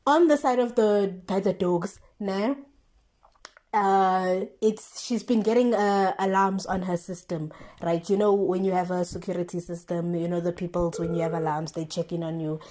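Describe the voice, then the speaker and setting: A young woman with a South African accent chatting casually, retelling stories in an animated, slangy way with exclamations and rhetorical questions. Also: lowish voice, moderate pace. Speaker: vlogger. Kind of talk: casual chatty storytelling commentary.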